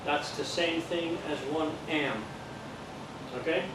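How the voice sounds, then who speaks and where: An older man with a Dutch accent teaches an electronics class in a patient, step-by-step way, checking in with the room. His voice sits a little high for a man. It is picked up from across a very echoey room, with a clear hiss of background noise. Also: takes it slowly. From Netherlands. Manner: plain, patient explaining with jokes and check-ins. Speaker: amateur radio course instructor. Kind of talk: classroom lecture. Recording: echoey room from far off, noisy.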